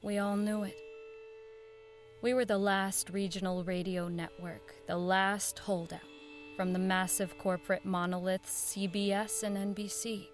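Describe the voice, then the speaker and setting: A woman's voice: medium pitch, level tone, unhurried, soft background music, lightly acted. An actress playing an idealistic, determined woman who runs a 1940s radio network, speaking in an earnest, dignified, persuasive way in an audio drama. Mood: wistful, resolute. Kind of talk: audio drama acting, dialogue and wistful first-person narration.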